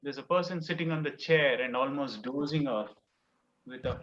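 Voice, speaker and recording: A man's voice: medium pitch, normal speed, a bit bright, dull audio. A man moderating an online class over a video call, calling on students and encouragingly repeating their answers back. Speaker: class host.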